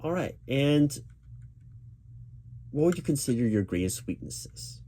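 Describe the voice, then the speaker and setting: A man playing a job interviewer in a spoken ASMR roleplay, talking in a reassuring, halting way with repeated words. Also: medium pitch. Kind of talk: ASMR roleplay, spoken aloud rather than whispered. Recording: steady keyboard typing noise behind voice. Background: computer keyboard typing.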